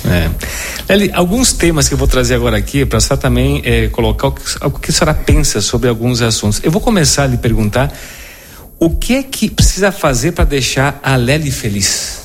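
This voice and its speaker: Man, southern Brazilian accent, animated intonation, respectful interviewer, conversational questions, slight background noise. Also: medium pitch, fairly quick. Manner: respectful, attentive prompting.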